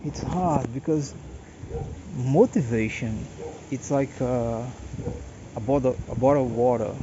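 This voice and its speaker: Brazilian man in his late thirties, Portuguese accent, tired, hesitant, very slow delivery, dull lo-fi recording with noise behind. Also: medium pitch. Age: 30s. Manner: hesitant, halting, repeats words. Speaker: vlogger. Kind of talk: personal monologue. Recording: dull lo-fi audio with background noise.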